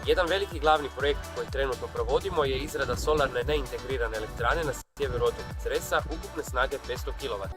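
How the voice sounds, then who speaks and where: A man's voice: mid-range voice, quick pace, hardly any pauses, over music. A man who manages an energy cooperative introducing himself and his team's project in a video statement.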